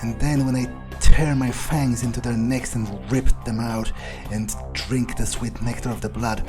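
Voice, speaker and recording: A man role-playing a proud, power-hungry, foul-mouthed vampire in a video game playthrough, thinking aloud in a halting way. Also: medium pitch, level tone, music in the background, close to an everyday voice. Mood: gloating reverie. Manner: musing aloud, halting, self-satisfied. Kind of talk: in-character game playthrough monologue.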